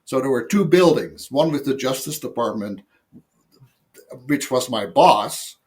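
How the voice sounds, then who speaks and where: A man's voice: medium pitch, animated, unhurried. An older man from the Netherlands with a Dutch accent, a guest telling long rambling stories about his past jobs with lots of fillers and self-mocking asides. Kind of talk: casual interview storytelling.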